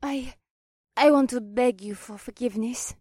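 A woman's voice: fairly high pitch, moderate pace, a little mellow, husky, dry recording, clean studio sound. A woman voicing a meek, apologetic witch in a fantasy audiobook, pleading and deferential to her master. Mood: remorseful, pleading. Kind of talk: audiobook character dialogue.

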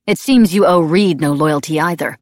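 A woman playing a fierce young witch in an audiobook, speaking sharply and forcefully with angry threats. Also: deep voice, moderate pace, no room sound, clean studio sound, natural voice. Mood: enraged. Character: furious young witch, fierce and protective of her mate.

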